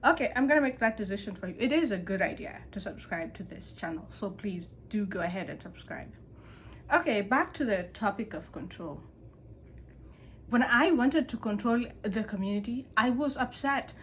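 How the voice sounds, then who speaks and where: A woman's voice: normal speed, slight background noise. A woman vlogger from Kenya, talking candidly about letting go of control in a conversational personal monologue. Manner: candid, conversational, animated emphasis with pitch jumps. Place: Canada.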